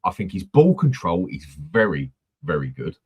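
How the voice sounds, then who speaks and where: A man's voice: low voice, very animated, normal speed, breathy edge. A man hosting a casual football fan chat, blunt and swearing freely. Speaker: host of a football fan channel.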